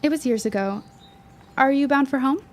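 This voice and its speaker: An actress playing a witty, eloquent high school girl with a precise, wry manner in a period audio drama. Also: moderate pace, a little hiss or hum, natural voice. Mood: coolly polite.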